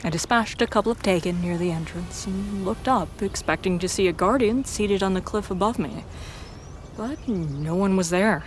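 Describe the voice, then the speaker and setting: A woman reading scripted first-person fiction in a measured storytelling manner. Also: medium pitch, a little hiss or hum. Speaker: story narrator.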